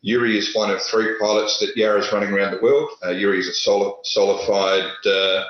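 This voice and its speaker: Man, deep voice, panelist, halting with fillers, very echoey room. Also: steady tone. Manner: halting, many filler sounds and restarts. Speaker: panelist, industry executive. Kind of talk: conference panel remarks. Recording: echoey, dull lo-fi audio.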